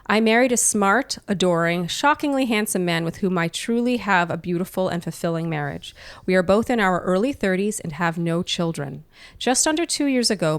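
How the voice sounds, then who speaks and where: A woman's voice: fairly low pitch, dry recording. A woman co-hosting an advice podcast, speaking conversationally and reading listener mail. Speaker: podcast co-host answering listener questions. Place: living room, indoors.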